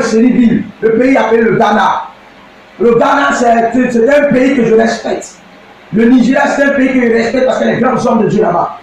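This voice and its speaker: An Ivorian man preaching with a very high, declamatory voice, swinging between shouted bursts and slow, drawn-out emphasis. His voice sounds distant from the mic in a strongly echoing room, with a faint hiss underneath. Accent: Ivorian French. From Côte d'Ivoire. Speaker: pastor. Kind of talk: impassioned preaching address.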